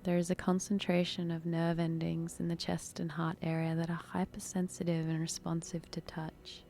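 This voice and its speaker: A woman leading a guided meditation in a soft, breathy, unhurried, soothing manner with long pauses. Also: fairly low pitch, completely flat delivery, slight background noise.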